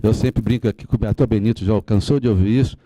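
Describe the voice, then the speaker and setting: A man's voice: fairly low pitch, fairly even intonation, fast talker, dark tone, no room sound. A tired seventy-year-old Brazilian man making a rambling, sentimental, informal address to a meeting. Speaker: retired police officer and association advocate.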